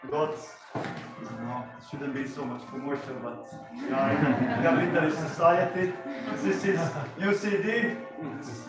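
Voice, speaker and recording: Rambling man talking to an audience. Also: fairly high pitch. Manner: rambling, friendly, loose phrasing with many fillers. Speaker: painter presenting his work. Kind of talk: casual talk to a live audience. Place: gallery event with a live audience. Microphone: handheld mic, heard from a distance. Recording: very echoey room, far from the mic, music behind.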